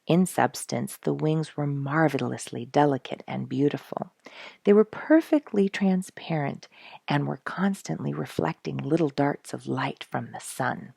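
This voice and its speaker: A woman narrating a storybook from a script, expressive, with dramatic emphasis. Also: low voice, a little slow, creaky, slightly rough, no echo. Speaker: storyteller, show host.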